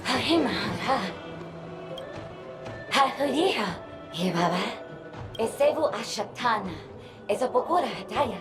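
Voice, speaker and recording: A woman's voice: a little high, animated, a little slow, pauses often, over music. A woman voicing a little babbling trash can creature in a cartoonish video game, in singsong gibberish.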